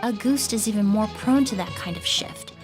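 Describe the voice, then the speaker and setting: An actress playing a calm, knowledgeable young perfumer who speaks in a thoughtful, precise and polite way. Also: female voice, medium pitch, normal speed, close to an everyday voice. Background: game music.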